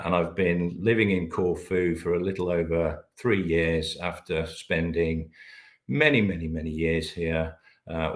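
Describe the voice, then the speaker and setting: A middle-aged British man with a very low, deep voice leads a business webinar. He talks hesitantly, with frequent "uh"s. His voice sounds echoey, as if he is in a bare room. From Britain. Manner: hesitant, many filler sounds. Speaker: webinar presenter, managing director.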